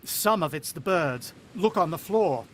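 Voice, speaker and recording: A man's voice: a little high, expressive, a little slow, fairly bright tone, a little hiss or hum. A man acting in a radio drama as a shaken, earnest, protective father with a hesitant way of explaining himself. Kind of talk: radio drama acting and first-person narration.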